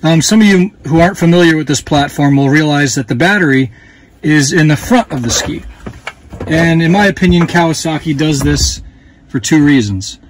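A man in his thirties with a slightly high voice explains a repair step by step as he works. He talks in a relaxed, plain way into a phone held close, outdoors. Faint noise sits behind him. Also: moderate pace, poor audio. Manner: relaxed, plain-spoken, with fillers while working. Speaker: dealer host giving a how-to. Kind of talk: casual hands-on tutorial. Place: outdoors beside a jet ski. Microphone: phone camera held close.

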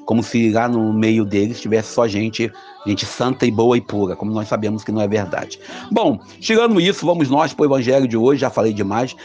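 A man's voice: lowish voice, lively intonation, brisk, darkish tone. Brazilian man giving a casual gospel reflection. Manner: conversational, many hesitations and restarts. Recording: slightly dull lo-fi audio with faint music.

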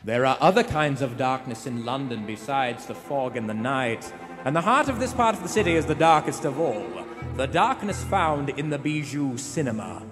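An actor playing a suave old-time radio announcer, narrating a thriller theatrically on a theater stage. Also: male voice, medium pitch, animated, normal speed, close to an everyday voice. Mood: dramatic. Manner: theatrical, dramatic. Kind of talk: 1940s radio play narration. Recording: echoey theater stage, music underneath.

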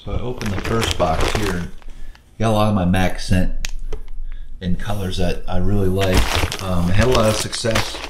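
A man's voice: low voice, normal speed. Laid-back man vlogging on a noisy camera. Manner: relaxed, chatty, many fillers. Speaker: fishing channel host. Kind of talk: casual vlog narration. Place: outdoors in a coastal salt marsh. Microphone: action camera held close. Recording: noisy outdoor camera audio.

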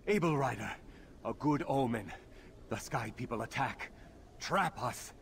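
An embattled alien warrior in a video game. His voice is gravelly and mid-pitched, with faint noise behind it. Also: animated, unhurried, fairly bright tone. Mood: urgent. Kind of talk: video game character acting.

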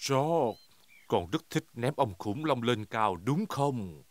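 A man voicing a genial, playful father pig in a dubbed preschool cartoon, speaking gently, expressively and indulgently. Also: medium pitch, lively intonation, takes it slowly. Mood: gentle. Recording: music behind the voice.

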